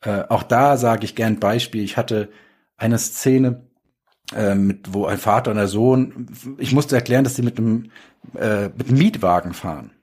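A man's voice: lowish voice, moderate pace, a little mellow, slightly rough. A man chatting casually as a podcast guest, explaining his work as a writer with examples. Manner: explains with examples and acted-out quotes. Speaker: guest, audio drama writer.

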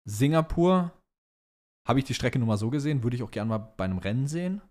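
A man's voice: mid-range voice, expressive, fast, darkish tone. A young man, co-hosting a podcast in casual banter with slangy phrasing. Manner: slangy, mixes English phrases into German. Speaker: podcast co-host. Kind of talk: casual banter, answering listener questions.

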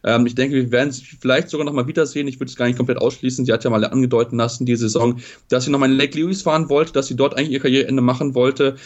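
Fast-talking man on a dull lo-fi line. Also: mid-range voice, steady tone. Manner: halting, many fillers and restarts. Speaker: sports pundit. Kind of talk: casual spoken analysis.

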